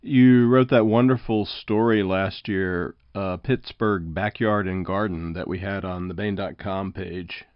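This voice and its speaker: A man's voice: low voice, fairly even intonation, unhurried, hardly any pauses, smooth, no room sound. A man hosting a podcast interview with prepared summaries and prompts, recorded with slightly dull lo-fi sound.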